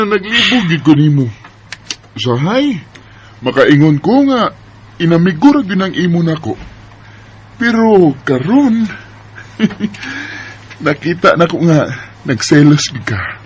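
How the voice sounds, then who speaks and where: A man acting as an earnest young Bisaya suitor in a radio drama. He speaks slowly in a slightly high voice, teasing and tender, over faint noise. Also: sing-song. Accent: Filipino, Bisaya.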